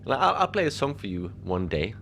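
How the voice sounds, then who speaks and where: A man playing a lonely, gentle Antarctic facility manager, rambling hesitantly and apologetically in a cozy fiction podcast monologue. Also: on the low side, big pitch swings, fairly quick, creaky, a little hiss or hum, heavily acted. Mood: wistful, friendly. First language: Norwegian. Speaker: podcast narrator.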